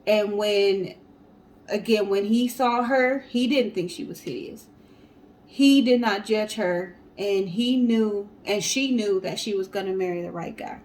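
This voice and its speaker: A woman co-hosting a show, telling stories and chatting casually to the audience in an animated way. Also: mid-range voice, measured pace, low-quality recording. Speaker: co-host of a storytelling guessing show. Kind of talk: casual storytelling and chat to viewers.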